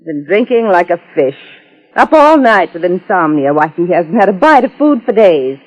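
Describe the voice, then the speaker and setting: An actress playing a haughty, scornful wife in arch, theatrical 1950s radio style. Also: female voice, fairly low pitch, very animated, moderate pace. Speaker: radio drama actor. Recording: muffled old radio recording.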